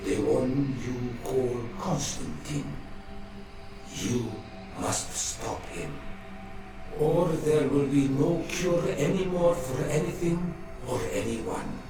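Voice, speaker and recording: A man's voice: mid-range voice, slow, creaky. An actor playing an ancient, wounded male nature spirit in a game, speaking solemnly and portentously in broken phrases. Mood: urgent warning. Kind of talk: slow dramatic game voice acting. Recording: cavernous echo, distant, music underneath.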